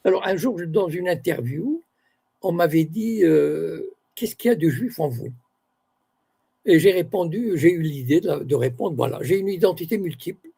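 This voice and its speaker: An older man from Morocco talks as an interview guest, weighing each phrase and correcting himself as he goes. His voice is gravelly and husky, with a dark, mellow tone that sits a little high for a man. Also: lively intonation. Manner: measured, searching for words, self-correcting. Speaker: author and university academic. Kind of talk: interview guest, reflective talk.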